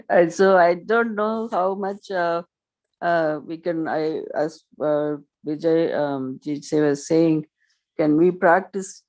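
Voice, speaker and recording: A woman with a low voice, a member of a study group, talking hesitantly over a video call. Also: steady tone, takes it slowly, darkish tone. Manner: hesitant, many fillers and restarts. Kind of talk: casual discussion.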